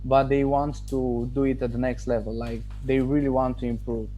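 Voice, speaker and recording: Mellow-voiced man, halting and thoughtful. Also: mid-range voice, flat delivery, dull audio, faint music behind. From Romania. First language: Romanian. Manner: thoughtful, halting, with restarts and pauses. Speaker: student giving a testimonial. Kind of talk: casual interview answers.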